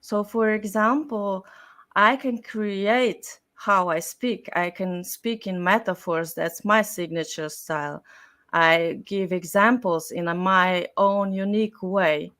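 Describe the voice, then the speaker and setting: Very slow, deliberate woman explaining. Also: medium pitch, fairly bright tone. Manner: deliberate, emphatic short phrases. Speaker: style and communication expert guest. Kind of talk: explanatory interview answers.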